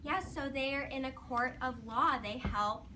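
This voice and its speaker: A woman's voice: sits high, a little slow, bright, forceful tone. A woman teacher reading aloud to young children in a classroom, with simple instructional prompts and praise. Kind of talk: read-aloud lesson with questions to children. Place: classroom, recorded from a distance. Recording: distant mic, noisy classroom.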